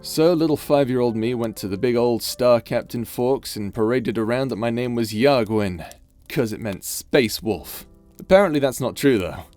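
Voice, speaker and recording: A man playing a tender, weary space pirate in an intimate ASMR roleplay, speaking softly and hesitantly over music. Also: medium pitch, normal speed, few pauses, close to an everyday voice. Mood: wistful, playful. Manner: soft, hesitant, confiding.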